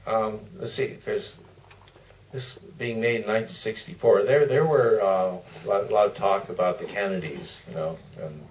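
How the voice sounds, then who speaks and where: An older man answering off the cuff as a film director on a panel, in a hesitant, meandering way with pauses. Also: fairly low pitch, darkish tone. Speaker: documentary director guest on a Q&A panel. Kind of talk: spontaneous panel discussion. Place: theater stage at a live Q&A. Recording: distant mic, faint room noise.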